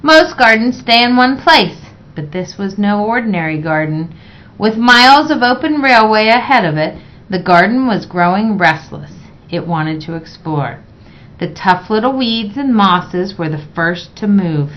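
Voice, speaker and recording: A woman reading a children's picture book aloud in a measured, expressive way. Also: medium pitch. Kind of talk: read-aloud children's story narration. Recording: dull, lo-fi sound.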